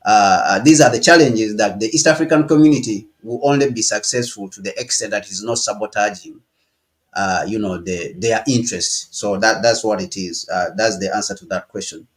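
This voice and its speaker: A man from Ghana and Uganda, a guest giving political commentary on a live stream, who often repeats words and says "you know". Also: on the low side.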